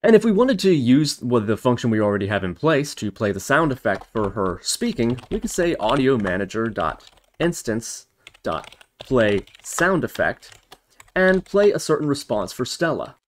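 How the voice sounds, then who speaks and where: A man's voice: medium pitch, very animated, moderate pace, a little hiss or hum. A man hosting a casual programming tutorial, talking through each step as he types it. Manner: spells out steps as he types. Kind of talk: casual screen-recorded coding tutorial narration.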